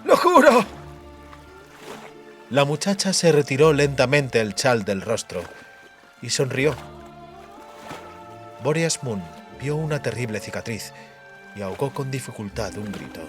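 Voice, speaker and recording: A man's voice: medium pitch, animated, measured pace, long pauses, faint music behind. A man narrating fantasy fiction as a dramatic, scripted audiobook.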